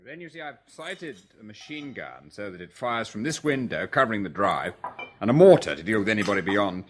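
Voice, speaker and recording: A confident English stockbroker holding out at a manor in a radio drama. His voice is low and animated, with an easy, assured affability. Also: brisk, a little hiss or hum. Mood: brisk, assured.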